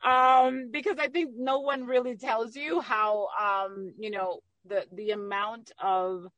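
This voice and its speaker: A woman's voice: on the high side, steady tone, takes it slowly, a bit bright, dry recording. Candid, talkative woman in a dry recording. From Dominican Republic. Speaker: interview guest, magazine owner and entrepreneur. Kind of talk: candid conversational interview answers.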